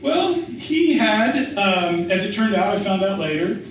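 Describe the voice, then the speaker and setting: In a very echoey room, picked up from a distance, a middle-aged American man speaks in a slightly high voice. He talks informally like an author answering questions, telling anecdotes and circling back over his phrases. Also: very animated, measured pace. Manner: conversational, anecdotal, often restarts and repeats phrases for emphasis. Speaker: author and writing teacher speaking at a reading. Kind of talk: informal spoken Q&A after a reading. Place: indoor reverberant event room. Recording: echoey room, distant mic, faint noise.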